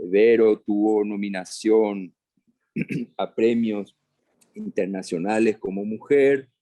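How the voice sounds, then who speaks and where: A man hosting a remote class, introducing a guest slowly and hesitantly with many fillers. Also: lowish voice, warm tone, no echo. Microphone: video call.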